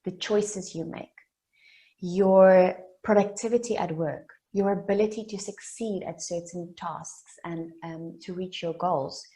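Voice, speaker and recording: A South African woman in her late twenties, a native Afrikaans speaker, giving an earnest motivational keynote that builds its story through deliberate repetition. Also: lowish voice, steady tone, dark tone. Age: late 20s. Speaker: summit speaker.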